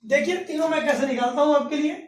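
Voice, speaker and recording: A male math teacher giving a classroom lecture, dictating slowly and asking then answering his own questions. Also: very high voice. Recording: roomy echo from a distance.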